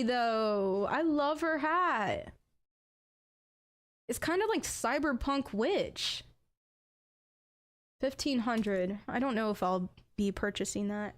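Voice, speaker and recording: A young adult woman with an accent, chatting casually as a game streamer and bursting into excited exclamations. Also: fairly high pitch. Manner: chatty, bursts into excited "ooh"s over items. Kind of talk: casual live-stream chat.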